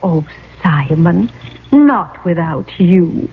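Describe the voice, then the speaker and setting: An actress playing a gentle, long-suffering lady ghost, fond and softly chiding, in a radio drama. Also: lowish voice, lively intonation, extremely slow, slight background noise, full character voice. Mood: fond, weary. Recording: muffled, lo-fi old radio recording.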